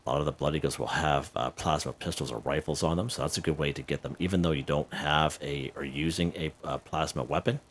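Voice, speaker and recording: Very deep-voiced man, chatty game guide. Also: fairly even intonation, brisk, hardly any pauses, slight background noise. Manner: chatty, checks in with "okay?" and "right?". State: slightly hoarse. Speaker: gaming video host. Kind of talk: casual game walkthrough.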